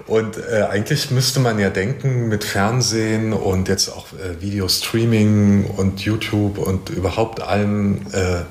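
A man's voice: on the low side, fairly even intonation, a little slow, few pauses. A middle-aged man asking casual interview questions in an informal, slightly hesitant way. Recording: roomy, echoing interview recording.

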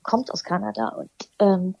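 A woman from eastern Germany, a singer as interview guest, talking thoughtfully with many pauses and restarts. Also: mid-range voice, steady tone, gravelly, dark tone. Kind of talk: casual interview chat.

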